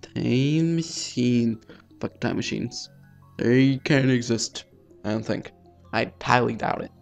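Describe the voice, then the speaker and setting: A man's voice: medium pitch, slow, vocal fry. A man hosting a let's play, reading a visual novel aloud casually, stumbling over names and swearing offhandedly in his asides. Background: music.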